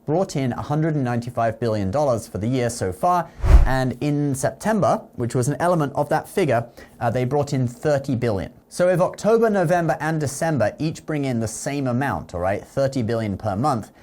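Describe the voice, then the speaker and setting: A man hosts an online money explainer in a medium-pitched voice. He talks directly to the viewer in an explanatory manner, punctuating his points with quick questions to check they are following. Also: expressive, a little mellow, a little hiss or hum. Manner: explanatory, checks in with "okay?" and "all right?". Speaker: finance news video host. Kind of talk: explainer commentary to camera with sponsor read.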